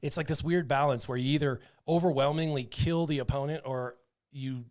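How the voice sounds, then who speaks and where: Man, livestream gamer, casual chat, excitable, lots of fillers, mid-pitched. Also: moderate pace. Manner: chatty, excitable, full of fillers like "um" and "like". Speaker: streamer playing a mobile game.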